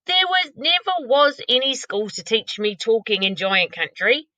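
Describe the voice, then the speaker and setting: A woman's voice: fairly high pitch, unhurried, no echo, pitched above the performer's own voice, heavily acted. A woman voicing a huge, very old, kindly male giant who mangles his grammar, read aloud from a storybook. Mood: storytelling. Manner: muddled grammar, lively storybook delivery.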